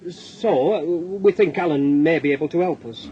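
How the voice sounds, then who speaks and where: An actor playing a persistent, matter-of-fact police detective with a hesitant official manner, in a naturalistic radio drama. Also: male voice, on the high side, moderate pace, dark tone, a little hiss or hum, natural voice. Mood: official, insistent. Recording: dull sound with background ambience.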